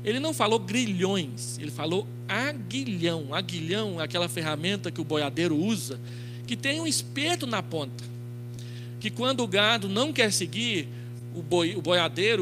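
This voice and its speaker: High-pitched Brazilian pastor preaching. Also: male voice, expressive, normal speed. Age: middle-aged. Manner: storytelling, acting out quoted dialogue, rhetorical questions. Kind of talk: expository sermon. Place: church stage, indoors. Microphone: handheld microphone. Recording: clearly noisy with mains hum.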